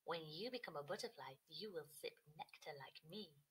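Reassuring bumblebee, woman reader putting it on, lowered pitch, soft, kindly, storybook. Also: animated, normal speed, husky, heavily acted. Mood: encouraging.

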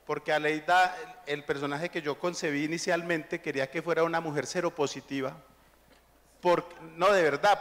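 A middle-aged man with a Colombian accent tells relaxed stories on a panel stage. His voice sits somewhat high. The handheld mic picks up the long reverb of a large hall. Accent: Colombian Spanish. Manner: relaxed storytelling, anecdotal. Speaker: cartoonist panelist. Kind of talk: onstage panel talk.

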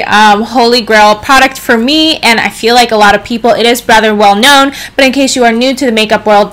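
A young woman in her twenties with a slightly raspy voice, chatting casually to camera in a bedroom as a beauty vlogger, just up from a nap. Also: high voice. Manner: chatty, expressive hand gestures, playful asides. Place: bedroom, indoors. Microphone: camera in front at desk distance.

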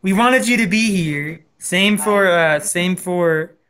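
Mid-pitched speaker, casual group chat, repeating phrases, searching for words. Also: takes it slowly, a bit bright.

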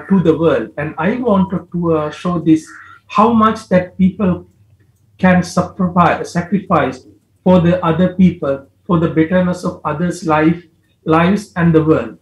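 A film director in his forties answering festival questions over a video call from a home study, speaking through a headset and searching for English words with many restarts. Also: on the high side. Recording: roomy video-call audio.

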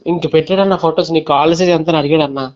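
A man's voice: fairly high pitch, fairly even intonation, quick pace, a little mellow. A man with a Telugu accent telling his story step by step in a casual phone conversation, often quoting what was said. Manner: recounts step by step, quoting dialogue. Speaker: phone caller recounting being scammed. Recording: dull lo-fi recorded phone call.

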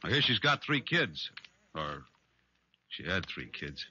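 An actor playing a gruff, weary police officer with a husky voice in an old radio drama. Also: male voice, low voice, very animated, takes it slowly, pushed and bright, full character voice. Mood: somber. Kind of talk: 1940s-50s radio drama acting. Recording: muffled old radio recording.

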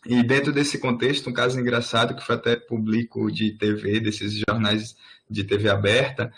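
A man's voice: lowish voice, level tone, moderate pace, a bit bright. A man with a Brazilian Portuguese accent moderating an online lecture in a casual, conversational way over a video call.

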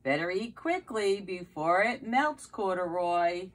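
A woman's voice: on the low side, animated, slow. A middle-aged woman reading a picture book aloud to young children like a preschool teacher, with questions and exclamations. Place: carpeted basement room at home, indoors.

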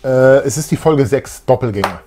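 A man's voice: mid-range voice, moderate pace, a little mellow. A middle-aged man co-hosting a review show, chatting casually and off the cuff. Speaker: co-host of retro audio play review show. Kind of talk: casual unscripted chat. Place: small indoor room full of posters.

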